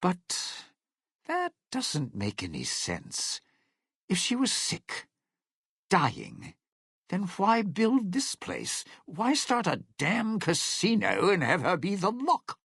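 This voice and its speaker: An actor playing a cynical, self-serving lounge singer in a video game, with a raspy, gravelly voice and a wry, theatrical, menacing delivery. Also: male voice, sits high, wildly swooping pitch, slow pace, a bit bright, no room sound, studio quality, full character voice. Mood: urgent, wry. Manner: wry, theatrical, hesitant muttering turning to threats. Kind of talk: video game character dialogue.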